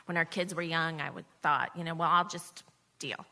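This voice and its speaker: Middle-aged american woman with a bright clear-cut voice, wry and self-deprecating, chatting as an interview guest. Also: deep voice, fairly even intonation, normal speed. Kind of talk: conversational storytelling in a live interview.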